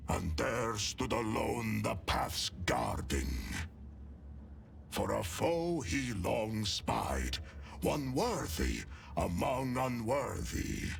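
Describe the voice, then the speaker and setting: An actor voicing a huge, feral and proud space warrior in a video game, with a deep guttural rasp and slow, poetic, archaic declamation. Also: male voice, exaggerated voice.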